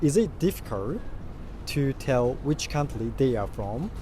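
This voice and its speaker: A man's voice: medium pitch, sing-song, a little slow. A man doing casual street interviews, asking simple questions and repeating answers back. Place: outdoors on an urban street in Japan. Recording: outdoor street with traffic noise.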